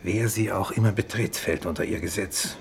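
Resigned but unbending exiled colony doctor: very low, gravelly, measured delivery, vintage radio drama. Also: male voice. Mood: defiant, grave. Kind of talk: 1950s German radio play acting. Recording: faintly noisy 1950s radio recording.

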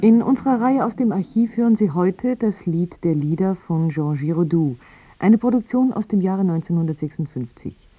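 A woman's voice: lowish voice, expressive, normal speed, dark tone, faint music behind. A woman radio announcer reading a scripted introduction in a 1980s archive broadcast.

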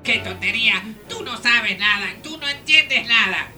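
A woman's voice: on the high side, animated, measured pace. A woman playing a fussy, scolding guide to magic gates in a theatrical radio drama. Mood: scornful warning. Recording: studio radio play over loud music.

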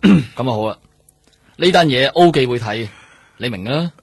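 An actor with a Hong Kong Cantonese accent playing an exasperated middle-aged police superior in a radio drama, lecturing with pointed questions in a husky voice. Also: male voice, medium pitch, expressive, normal speed. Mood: stern.

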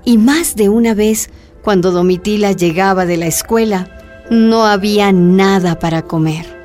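A woman's voice: medium pitch, measured pace, a little mellow. Woman narrator over music. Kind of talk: scripted radio documentary drama narration.

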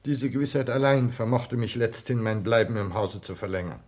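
Guarded secretary, slightly husky formal voice acted by a man. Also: medium pitch, steady tone, darkish tone, close to an everyday voice. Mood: deferential, formal. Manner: formal, measured, clipped replies. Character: Doctor Krey, ambitious, guarded male secretary in a household. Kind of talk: 1960s German radio play acting.

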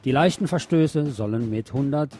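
A man's voice: mid-range voice, moderate pace, heavy background noise. A man presenting scripted local news on the radio, reading as a narrator of reports.

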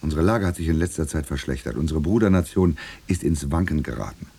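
An actor playing a gruff, impatient, dogmatic secret police superior in middle age, blunt and commanding, in a radio drama. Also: male voice, a bass voice, expressive, fast talker, dark tone, full character voice. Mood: commanding, urgent. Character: gruff old-school Stasi superior, impatient, dogmatic, male.

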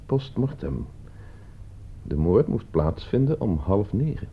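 Low-voiced, expressive radio drama man. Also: slow, husky, exaggerated voice. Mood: cool, self-assured. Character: self-assured man named Loimu who proves his point, turning rattled. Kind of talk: radio play acting. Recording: dull lo-fi radio play recording.

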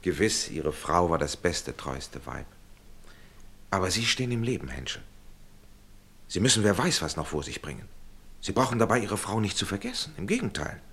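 A husky, animated gentleman adviser. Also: low-pitched, normal speed, long pauses, heavily acted. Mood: urging, consoling. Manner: emphatic, persuasive, educated phrasing. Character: well-meaning gentleman friend, paternal, urging, somewhat worn. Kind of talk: expressive German radio play acting.

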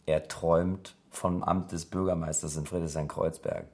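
An earnest, idealistic local politician, played by a male actor, speaks in a low, articulate voice. The delivery is that of a naturalistic radio drama. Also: level tone, moderate pace, a little mellow, lightly acted. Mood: wry, frustrated.

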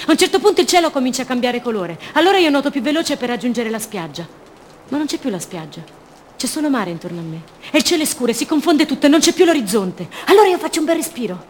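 Fragile haunted woman, fairly high voice, childlike repetition, broken phrases, theatrical radio drama, noise behind. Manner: fragmented short phrases, childlike repetition, dwelling on words. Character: fragile, haunted captive woman clinging to words.

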